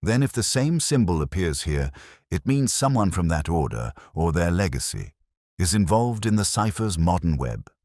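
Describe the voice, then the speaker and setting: A man's voice: deep voice, sing-song, normal speed, a little mellow, dry recording, clean studio sound. A man voicing a detective's thoughtful, earnest companion in an audio story, speaking somewhat tentatively. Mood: earnest, surmising.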